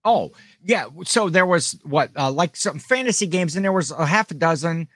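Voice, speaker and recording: A man's voice: on the high side, animated, normal speed, fairly bright tone, no room sound, clean studio sound. A man co-hosting a casual podcast, telling a long story with lots of restarts and acting out what other people said. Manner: rambling storyteller, acts out others' lines. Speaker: podcast co-host. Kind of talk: casual chat.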